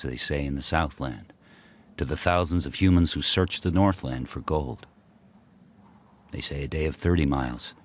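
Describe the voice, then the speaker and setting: An actor playing a proud, fierce sled dog who tells his own hard life in solemn, intense radio drama monologue. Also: low-pitched. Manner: solemn, deliberate, intense, short poetic phrases.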